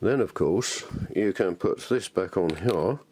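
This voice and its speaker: A man's voice: deep voice, expressive, measured pace, a little mellow, husky. A man presenting an informal hands-on tutorial, thinking aloud in a chatty, enthusiastic way.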